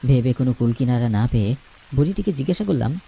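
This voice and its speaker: A man tells a scripted ghost story in the first person. His voice is mellow and medium in pitch, with a creaky edge, and he builds suspense dramatically. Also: animated, fast. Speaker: narrator.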